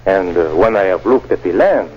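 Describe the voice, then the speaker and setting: An actor playing a falsely friendly, cynical schemer in old-time radio style, with a folksy, coaxing manner. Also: male voice, low voice, lively intonation, moderate pace, husky. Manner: coaxing, folksy, hesitant interjections. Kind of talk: 1940s radio acting. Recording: dull old radio drama recording.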